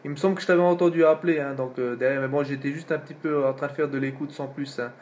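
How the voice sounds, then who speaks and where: A man's voice: fairly high pitch, steady tone, quick pace, darkish tone, slight background noise. A man chatting casually as an amateur radio operator, colloquial and repetitive, with lots of little tag words. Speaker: amateur radio operator filming his contacts. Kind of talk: casual radio contact chat.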